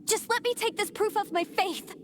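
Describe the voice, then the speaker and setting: A woman's voice: very high voice, moderate pace, noisy background. An actress playing a zealous young woman devoted to a fallen goddess, speaking in an earnest, impassioned way. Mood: defiant. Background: game music.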